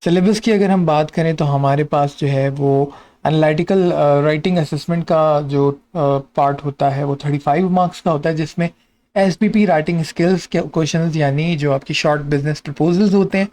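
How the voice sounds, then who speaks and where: A man's voice: a little high, normal speed, mellow. A man with a Pakistani accent giving an informal, instructive explainer, mixing in many English terms as he walks through details. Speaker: host of an exam and job guidance channel.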